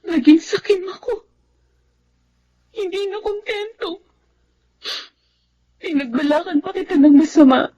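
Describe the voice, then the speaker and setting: A woman playing an ailing, remorseful older sister in a Filipino radio drama, with a weak, breathy voice. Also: very high-pitched, animated, measured pace, long pauses, dark tone, muffled recording. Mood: remorseful, tearful. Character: sick, remorseful older sister, once greedy, now humbled.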